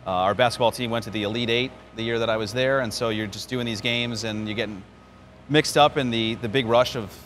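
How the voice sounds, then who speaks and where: A man's voice: medium pitch, fairly even intonation, brisk, a bit bright. Man chatting over outdoor background noise. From New York, United States. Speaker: baseball radio play-by-play announcer. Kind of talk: conversational interview answers, storytelling. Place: outdoors at a spring training ballpark.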